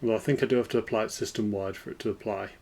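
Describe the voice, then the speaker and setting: A man hosting a screen-recorded software walkthrough, explaining in a relaxed way. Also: lowish voice, rapid-fire, vocal fry. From United Kingdom. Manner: relaxed explanatory, lively intonation. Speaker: tech video host.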